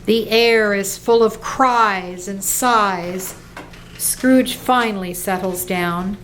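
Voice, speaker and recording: A woman's voice: medium pitch, slow pace, fairly bright tone, a little hiss or hum, rough recording. A woman giving scripted narration for a dramatic radio play.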